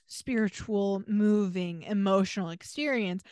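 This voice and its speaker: A woman's voice: mid-range voice, measured pace, darkish tone, clear, resonant tone, no room sound, clean studio sound. An American woman co-hosting a casual podcast, speaking in a wry, emphatic, opinionated manner. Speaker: podcast co-host.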